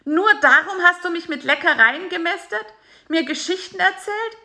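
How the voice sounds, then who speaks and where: A woman voicing a small excitable male fly with high, shrill outbursts in a storybook reading. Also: exaggerated voice. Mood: indignant. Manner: shrill, quick, squealing outbursts.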